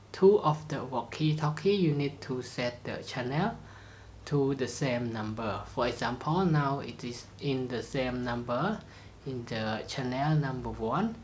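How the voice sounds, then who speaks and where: Slow-talking tutorial presenter with halting phrasing. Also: mid-range voice, slight background noise. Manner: simple, halting phrasing with non-native grammar. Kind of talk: step-by-step product demonstration.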